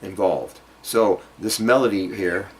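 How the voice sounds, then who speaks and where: A man's voice: deep voice, unhurried, rough recording. A man giving an informal guitar lesson, explaining piece by piece in a hesitant way as he demonstrates. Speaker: guitar teacher. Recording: loud guitar playing alongside voice. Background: acoustic or jazz guitar playing.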